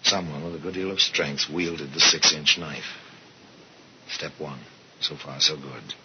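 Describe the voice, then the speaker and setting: Sardonic narrator for vintage radio crime drama: bottom-of-the-range bass, measured and dramatic, muffled noisy sound. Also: male voice, steady tone. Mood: wry, ominous. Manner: measured, dramatic, wry delivery. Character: worldly, sardonic male narrator of murder tales. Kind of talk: 1950s radio mystery narration.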